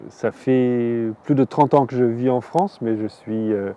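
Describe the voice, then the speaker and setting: Hesitant man, mellow voice. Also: mid-range voice, unhurried, distant mic, lo-fi sound, a little hiss or hum. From southern Germany. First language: German. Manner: hesitant, many fillers and restarts. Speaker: artist and biennial curator. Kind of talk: reflective interview answers.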